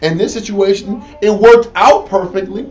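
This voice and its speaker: A man's voice: sits high, normal speed. A man leading a casual Bible study, talking in slang and acting out the scenes. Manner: animated, slangy, acts out scenes. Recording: distant lo-fi room recording with faint noise.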